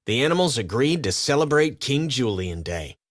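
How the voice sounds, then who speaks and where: A man's voice: medium pitch, very animated, moderate pace, dry recording, clean studio sound. A man reading a scripted children's story as its narrator.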